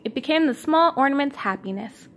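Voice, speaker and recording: A woman's voice: high voice, lively intonation, fairly quick, a bit bright. A mother reading a storybook aloud to her young child at home, in an encouraging way. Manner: encouraging, asks the child questions. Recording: home recording with faint background noise.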